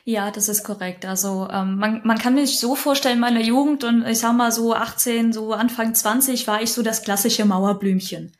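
A woman in her thirties, born in Ukraine and living in Germany for many years, talks as a guest on a casual podcast. Her voice sits a little high, and she answers in a friendly, talkative way, laughing easily between thoughts. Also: steady tone, normal speed, hardly any pauses. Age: 30s. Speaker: podcast guest, startup coach.

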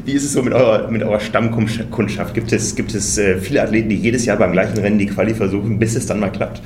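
A man's voice: on the low side, fast talker, a little mellow. A man in his forties hosting a podcast, asking casual questions with hesitant fillers. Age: 40s. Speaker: podcast host. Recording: roomy with faint noise.

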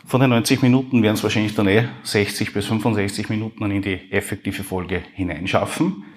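Low-voiced male podcast host, first live show, nervous and rambling. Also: steady tone, fast, slight background noise. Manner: nervous, rambling, self-deprecating. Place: university hall before a live audience.

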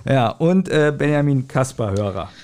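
A man with a German accent chatting as a podcast co-host in a halting, joking manner. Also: mid-range voice, lively intonation, darkish tone. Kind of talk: casual joking podcast chat.

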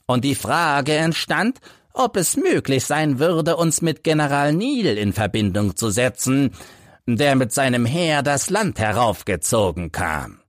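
Casting: male narrator as a crippled old veteran, bitter and emphatic, slow storybook delivery with swinging pitch. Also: very animated, no echo, clean studio sound. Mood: grave recounting. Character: embittered hunchbacked old ex-soldier telling his life story.

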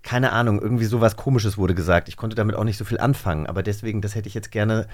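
Fast-talking man, lowish voice. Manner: eager, rambling questions with restarts. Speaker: podcast co-host. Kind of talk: casual interview chat. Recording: dry close mic.

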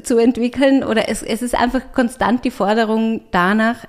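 A woman's voice: a little high, fairly even intonation, moderate pace. Eager woman writer in conversation. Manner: eager, piles up lists and restarts mid-sentence. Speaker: writer and artist, interview guest. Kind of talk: conversational interview.

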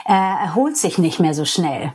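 Brief: New York-born woman, English native, talking in German, husky tone, candid and reflective, quick to laugh. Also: on the low side, very animated, darkish tone. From New York, USA. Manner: frank, reflective, laughs easily. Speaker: interview guest. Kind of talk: candid personal interview.

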